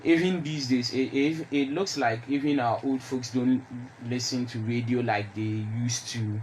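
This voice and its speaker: A young man with a Ghanaian accent speaking haltingly as a panelist in a radio discussion. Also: mid-range voice, steady tone, a little mellow, a little hiss or hum, low-quality recording. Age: young adult. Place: radio studio.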